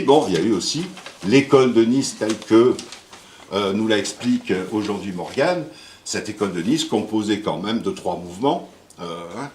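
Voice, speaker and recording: A man's voice: lowish voice, a little hiss or hum. A man giving a rambling, hesitant speech to an audience at a book launch. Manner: rambling, hesitant, with jokes and praise. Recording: roomy hall with faint ambience.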